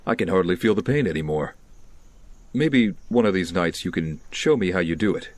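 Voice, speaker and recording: An actor playing a brooding, stubborn vigilante in an audio drama, speaking in an understated, matter-of-fact way. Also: male voice, deep voice, lively intonation, moderate pace.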